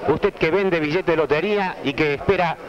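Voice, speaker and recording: A man's voice: a little high, moderate pace, crowd noise. A young man with an Argentine accent doing formal, insistent vox pop interviews as a street reporter on old news film. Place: outdoors on a busy city street. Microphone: handheld microphone. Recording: dull noisy 1970 archive newsreel audio.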